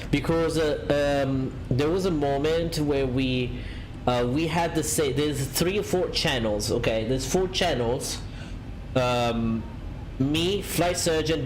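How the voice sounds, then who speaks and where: Casual streamer: man, rambling, mid-pitched voice, faint background noise. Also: low-quality recording. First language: Italian. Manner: rambling, many fillers and restarts, reads out chat. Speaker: flight simulator streamer. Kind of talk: casual live-stream chat.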